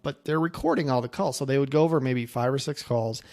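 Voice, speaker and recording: A man's voice: mid-range voice, quick pace, gravelly, a little mellow. A man speaking casually as a coach, sharing anecdotes and practical advice in a conversational way. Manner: conversational, anecdotal, acting out quoted remarks. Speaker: insurance agency coach and presenter. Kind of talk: casual coaching talk to camera.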